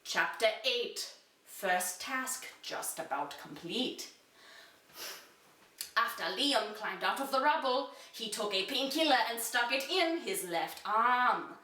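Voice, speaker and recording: Female storyteller, slow and deliberate, bright tone, dramatic reading of children's fantasy, set back from the mic. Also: a little high, animated, recorded from a distance. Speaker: reader. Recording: echoey room, away from the mic.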